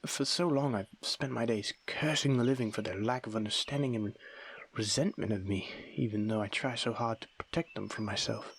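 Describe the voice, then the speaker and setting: A man playing a lonely, young-looking aristocratic vampire in an intimate audio roleplay, guarded yet tender, with formal old-fashioned wording and a soft breathy delivery. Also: lowish voice, normal speed. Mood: flustered, moved. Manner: formal, old-fashioned wording, hesitant stammers when moved. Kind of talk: ASMR audio roleplay, intimate dramatic monologue.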